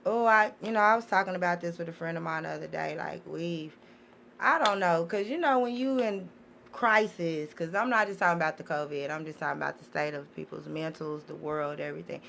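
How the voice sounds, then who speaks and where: A woman's voice: lowish voice, fairly quick, creaky, a bit bright. An American woman talking candidly and at length in a casual, opinionated group discussion, swearing freely. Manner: talkative, candid, profane. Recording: faint background noise.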